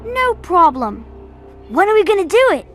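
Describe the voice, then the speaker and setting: Eager, very high-pitched little boy. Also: very animated, moderate pace, a bit bright, faint music behind, exaggerated voice. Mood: helpful. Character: eager loyal little boy, excitable and helpful. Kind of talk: video game cutscene acting.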